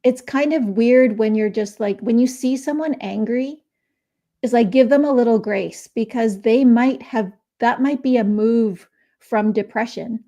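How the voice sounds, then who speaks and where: A middle-aged woman speaks on a video call as a guest author, her voice mellow and a bit high. She explains her ideas at length, loosely and chattily, with plenty of fillers. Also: a little slow. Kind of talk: casual interview chat. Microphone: remote video call.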